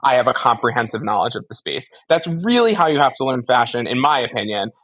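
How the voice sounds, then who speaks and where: A man's voice: mid-range voice, big pitch swings, quick pace, a bit bright. A man co-hosting a casual pop-culture discussion, talking in a slangy, analytical and jokey way. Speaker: podcast co-host.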